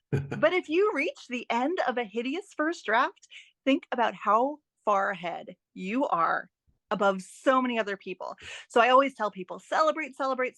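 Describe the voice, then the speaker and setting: A woman's voice: high-pitched, a bit bright, no echo. A woman giving encouraging, emphatic advice as an author and writing teacher in a conversational podcast interview. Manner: enthusiastic, encouraging, emphatic repetition.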